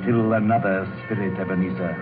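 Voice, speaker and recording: An actor playing a solemn, ominous spirit, grave and declamatory in 1930s radio drama style. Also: male voice, sits low, steady tone, a little fast, loud music behind the voice, lightly acted. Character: solemn, ominous male spirit foretelling fate. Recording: tinny 1930s radio broadcast.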